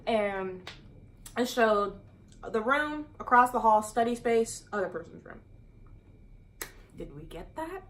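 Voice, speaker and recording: A young woman vlogger telling a casual storytime with her roommate, chatty and animated, dropping "dude" and "exactly" often. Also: a little high, slow, pauses often. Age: young adult.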